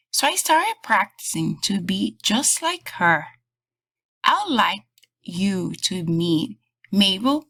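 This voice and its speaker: A woman host reading a storybook aloud for kids in an animated, deliberate, emphatic way. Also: mid-range voice, slightly rough. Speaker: children's read-aloud channel host and teacher.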